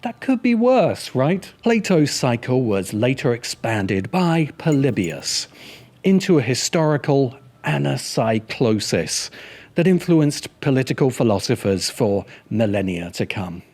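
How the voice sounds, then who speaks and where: A man's voice: mid-range voice, sing-song, a little slow, darkish tone. A man narrating a scripted video essay as its host, with dry, sardonic asides.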